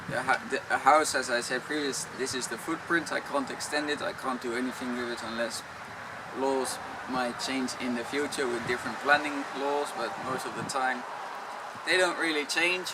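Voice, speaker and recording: Dutch-accented man vlogging outdoors. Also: medium pitch. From Netherlands. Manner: plain, matter-of-fact explaining. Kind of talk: casual Q&A vlog. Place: outdoors on a rural property, wind and traffic. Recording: noisy outdoor recording with wind and traffic. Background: wind and road traffic.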